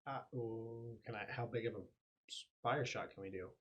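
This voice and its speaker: A man's voice: mid-range voice, fairly even intonation, normal speed, a bit bright, dull audio. A man playing a tabletop game, chatting casually and joking with the other players. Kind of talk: casual banter.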